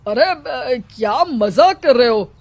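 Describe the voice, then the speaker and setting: A woman voicing a blustering, alarmist village elder in a Hindi cartoon story, with drawn-out dramatic delivery. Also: high-pitched, lively intonation, a little mellow, exaggerated voice. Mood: indignant. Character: village headman and fake holy man, blustering, alarmist.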